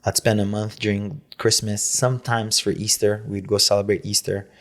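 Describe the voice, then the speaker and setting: A man's voice: fairly low pitch, level tone, normal speed. A young man from Lebanon, a native Arabic speaker, guesting on a podcast and talking in a loose, chatty way, swearing freely and saying "man" a lot. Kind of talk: casual podcast conversation.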